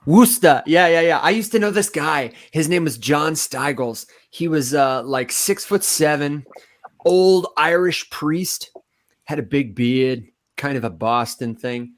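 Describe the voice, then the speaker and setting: A man with a nasal, reedy voice and a slight Michigan accent, co-hosting a casual chat and telling animated stories with frequent laughter. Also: fairly high pitch, a little slow, no room sound, studio quality. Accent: Michigan, mostly neutral American. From Las Vegas, raised in Michigan. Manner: animated storyteller, laughs often. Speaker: co-host.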